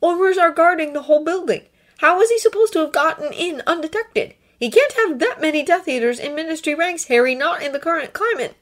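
A woman's voice: high voice, expressive, a little fast, voice pushed up. A woman voicing a teenage wizard boy who pleads and argues urgently in a raised voice. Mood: reasoning.

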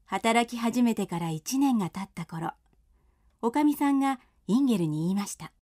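Mellow woman narrating a tale. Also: fairly high pitch, expressive, moderate pace. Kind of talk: scripted storybook narration for radio drama.

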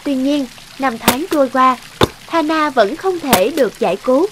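A woman narrates a children's fairy tale from a script. Her voice is high, and music plays clearly behind it. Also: animated, normal speed, rough recording.

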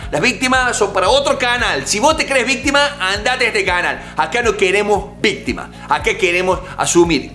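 Emphatic coach in his forties, high bright voice, soft music underneath. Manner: emphatic, animated, gestures with hands. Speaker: negotiation coach. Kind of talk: direct-to-camera coaching talk. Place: home office with bookshelves and art.

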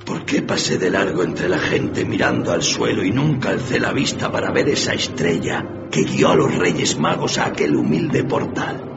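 An actor playing the tormented, remorseful ghost of a dead businessman in a radio drama, solemn and lamenting with drawn-out emphasis. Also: low-pitched, big pitch swings, creaky, full character voice. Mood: anguished remorse. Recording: dull muffled recording under loud music.

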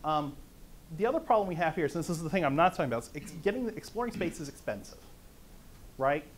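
Middle-aged man, conference talk, slightly high voice, faint room noise. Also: fast talker. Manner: conversational, lively, asks the audience "right?". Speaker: conference speaker. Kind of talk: lecture on economics.

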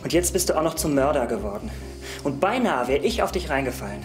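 An actor playing an earnest, insistent young heir in a German crime radio drama. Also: male voice, medium pitch, sing-song, quick pace, faint music behind, close to an everyday voice. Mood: accusing.

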